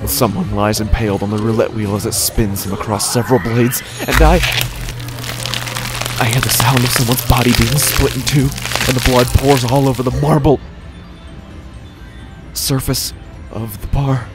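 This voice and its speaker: A man playing a desperate, unraveling gambler, narrating a horror audio drama in the first person with halting, haunted, dramatic delivery. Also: medium pitch, steady tone, loud music behind the voice, close to an everyday voice. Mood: rising panic.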